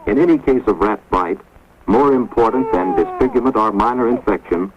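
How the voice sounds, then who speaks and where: A man giving measured, grave scripted narration for an instructional film, recorded on a dull, lo-fi 1950s film soundtrack. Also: lowish voice, noisy background. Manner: measured, grave, authoritative delivery.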